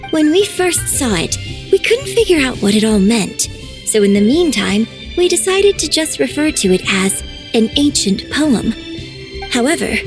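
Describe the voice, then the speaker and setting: An actress playing a polite, composed young woman in a video game, who speaks in a formal and measured way. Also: sits high.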